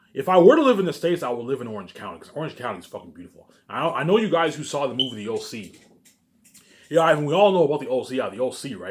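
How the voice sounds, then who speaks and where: A man talking casually in a rambling, repetitive monologue, swearing often and swinging between loud emphatic bursts and quieter asides. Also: mid-range voice. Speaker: vlogger.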